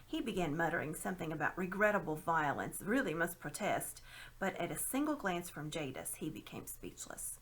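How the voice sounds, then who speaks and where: A woman reading a children's novel aloud in a dramatic, expressive narrating style. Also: on the low side, moderate pace. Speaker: storybook reader.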